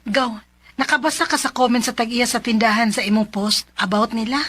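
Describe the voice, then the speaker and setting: A woman's voice: on the high side, brisk, a bit bright, dry recording, soft background music. A woman acting a concerned young female friend in a radio drama, with a Cebuano accent.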